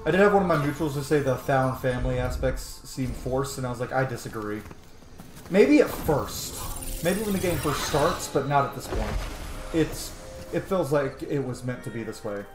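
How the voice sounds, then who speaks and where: A man's voice: medium pitch, lively intonation, moderate pace, over music. A man streaming a video game, giving casual, rambling, opinionated let's-play commentary in a conversational way. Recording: echoey room with noise behind.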